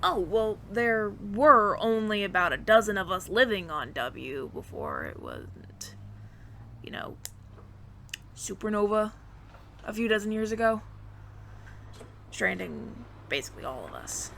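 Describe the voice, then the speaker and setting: A woman's voice: mid-range voice, sing-song, a little slow, vocal fry, pauses often, fairly bright tone, slight background noise, full character voice. A woman voicing a friendly, flustered alien roommate who passes as human, speaking to the listener in a hesitant, stammering, earnest ramble in an audio roleplay. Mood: wistful, candid.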